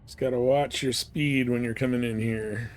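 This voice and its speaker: A man's voice: mid-range voice, steady tone, normal speed. A man live-streaming a game, giving casual commentary and advice in a rambling, informal way.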